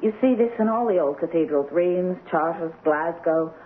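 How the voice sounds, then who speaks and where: An actress playing a sensitive, cultured young American wife in a radio drama. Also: female voice, lowish voice, normal speed, lightly acted. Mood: admiring, curious. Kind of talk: old radio drama acting. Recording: muffled, hissy old radio broadcast.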